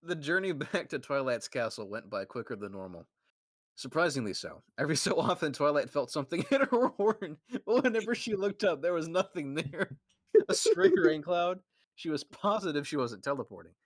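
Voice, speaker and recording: A man's voice: fairly high pitch, expressive, moderate pace, dry recording, clean studio sound. A young man casually reading a fan fiction aloud, often breaking into laughter and restarting lines.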